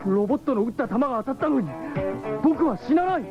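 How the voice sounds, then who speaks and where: High-pitched, earnest young cyborg hero. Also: male voice, lively intonation, quick pace. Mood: alarmed, bewildered. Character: young male orphan turned cyborg hero, bewildered and earnest. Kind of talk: 1970s Japanese radio drama acting. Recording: dull old radio tape, loud music.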